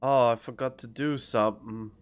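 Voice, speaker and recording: A man's voice: mid-range voice, fairly even intonation, normal speed. A man streaming a game, muttering casual, offhand commentary to himself. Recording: home USB mic with game music behind.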